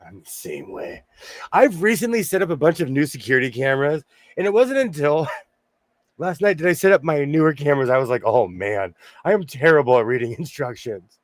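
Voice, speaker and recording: A man in his forties hosting a casual sewing livestream, hoarse and losing his voice, joking and laughing often as he reads the chat. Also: on the high side, dry recording.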